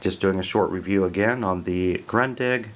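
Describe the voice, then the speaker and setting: American guy doing a casual product review, low voice, plain and unhurried explaining. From American Midwest.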